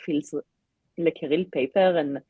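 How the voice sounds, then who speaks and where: Non-native woman, husky voice, hesitant casual talk, dry close mic. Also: sits low, mellow, no room sound. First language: not English. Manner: halting, with many fillers and restarts. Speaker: co-host of a live reading stream. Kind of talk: casual chat.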